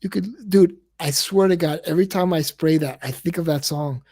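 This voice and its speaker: A man's voice: fairly high pitch, moderate pace, darkish tone, breathy edge. A man hosting a casual livestream, rambling and repeating words, and he says he stutters. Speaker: livestream host.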